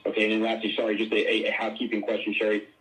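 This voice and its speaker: Fast-talking, lowish man calling as analyst. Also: completely flat delivery, fairly bright tone, poor audio. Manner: hesitant starts, repeated words. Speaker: financial analyst caller. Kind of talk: casual questioning on an earnings call.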